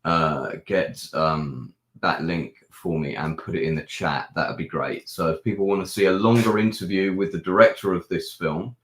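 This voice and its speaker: A man with a croaky, ill-sounding voice, chatting casually through a film review with many pauses and fillers. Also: sits low, fairly bright tone. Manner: rambling with many ums and restarts. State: hoarse, ill with a sore throat. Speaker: film reviewer and channel host. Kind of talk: casual live-stream review chat. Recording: echoey room.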